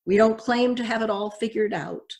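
An older woman pastor giving a measured, reflective sermon, reading from notes at a church pulpit. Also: a little high, a little mellow.

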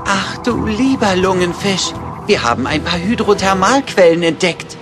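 An actor voicing a clumsy, excitable animal scientist in a children's cartoon audio drama, explaining things hesitantly. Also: male voice, high-pitched, normal speed, music in the background. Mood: fascinated.

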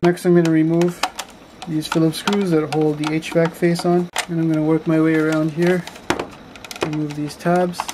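A man calmly narrating a step-by-step electronics repair tutorial in a plain, methodical way. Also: on the high side, slight background noise, rough recording. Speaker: car electronics tutorial maker.

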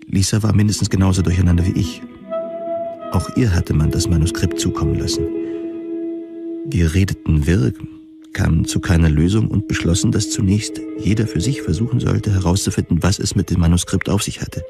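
An actor playing a troubled government reviewer in a dystopian future, narrating a science fiction audio drama in a measured, introspective way. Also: male voice, deep voice, sing-song, vocal fry, exaggerated voice. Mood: brooding, uneasy. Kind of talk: scripted first-person audio drama narration. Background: music.

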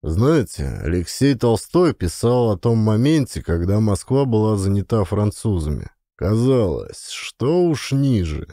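Mellow, expressive male audiobook narrator. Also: lowish voice, unhurried, hardly any pauses, no room sound, studio quality. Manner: varies voice for characters' dialogue. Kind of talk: expressive first-person autobiographical narration.

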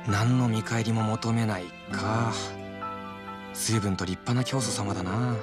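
A man's voice: on the low side, steady tone, measured pace, over loud music, natural voice. A man playing a casual, streetwise, wry character in a video game, talking offhandedly. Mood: wry, reflective. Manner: offhand, informal. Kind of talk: scripted Japanese video game dub.

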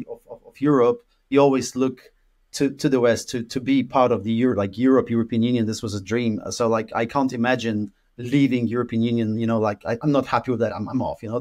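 A man's voice: mid-range voice, a little mellow. A man in his thirties, from Poland, talking as a guest in an interview over a video call from a room at home. He rambles, with lots of fillers and repeated words. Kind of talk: casual interview conversation. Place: room at home with an orange wall.

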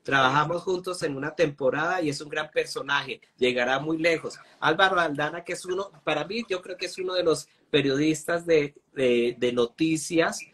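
A young man hosting a live chat, relaxed and hesitant with many fillers. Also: a little high, normal speed, hardly any pauses, husky. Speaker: host. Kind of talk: casual live interview. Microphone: phone camera close up.

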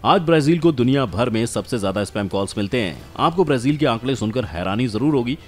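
A man's voice: mid-range voice, animated, fast talker. A man from India reading a scripted explainer as narrator, speaking emphatically and straight to the listener. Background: background music.

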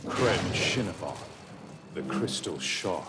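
A man's voice: sits low, lively intonation, takes it slowly, very noisy. A man giving grave, scripted narration for a fantasy game's story cutscenes. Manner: solemn, grave. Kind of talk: epic scripted game narration. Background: loud orchestral music.

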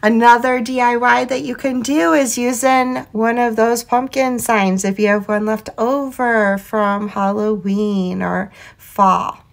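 A woman hosting a craft tutorial in a chatty, enthusiastic voiceover, her voice going hoarse. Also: a little high, fairly even intonation, takes it slowly, hardly any pauses. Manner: chatty, enthusiastic, laughs often. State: losing her voice. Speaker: craft channel host.

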